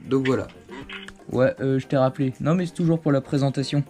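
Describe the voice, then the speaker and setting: A man's voice: mid-range voice, fairly even intonation, a little fast, dark tone, soft background music. A man giving a casual, hands-on product demonstration at home, explaining things in an informal, conversational way. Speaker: host of a motorcycle gear review channel. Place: indoors at home.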